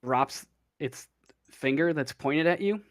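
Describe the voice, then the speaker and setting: Halting man running the game, dry sound. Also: on the high side, no echo. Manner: loose, halting narration full of "uh" and "like". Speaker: game master. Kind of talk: narrating a tabletop game over voice chat.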